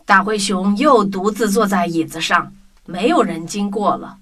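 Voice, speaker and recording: A woman's voice: medium pitch, normal speed, fairly bright tone, a little hiss or hum. A woman narrating a children's story in a scripted storytelling style. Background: music.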